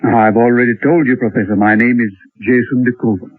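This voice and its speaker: A dry, tinny vintage radio recording carries a man playing a courteous male alien villain. His voice is medium-pitched and husky. He is elaborately polite and never rushed, with a suave menace beneath the manners. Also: steady tone, dry recording, close to an everyday voice. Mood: smugly amused. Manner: smooth-mannered, over-polite, unhurried menace. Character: suave, courteous male Martian posing as ranch owner. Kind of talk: old-time radio drama acting.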